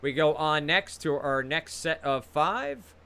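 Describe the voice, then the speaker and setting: A man's voice: fairly high pitch, lively intonation, measured pace, vocal fry, sharp tone, clean tone. A man hosting a trivia quiz over a video call, reading out questions with casual, encouraging banter between them.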